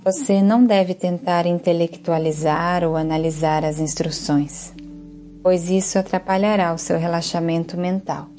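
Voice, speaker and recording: Mellow, low-voiced Brazilian woman guiding relaxation. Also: faint music behind. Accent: Brazilian Portuguese. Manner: calm, soothing, unhurried instruction. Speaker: yoga nidra instructor. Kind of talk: guided relaxation meditation.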